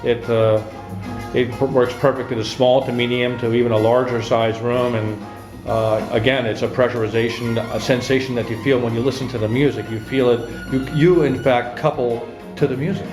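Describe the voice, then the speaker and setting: Male interviewer-reviewer, mid-pitched, admiring and deliberate, halting phrases, roomy sound with music underneath. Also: steady tone. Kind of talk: unscripted spoken review and interview. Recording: roomy echo with music behind.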